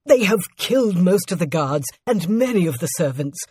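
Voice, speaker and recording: A woman's voice: low voice, a little slow, warm tone, slightly rough, no room sound, studio quality. A woman voicing a loyal male servant to a queen in a storybook, formal, earnest and emphatic. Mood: panicked. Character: loyal male royal servant, deferential then panicked and defiant.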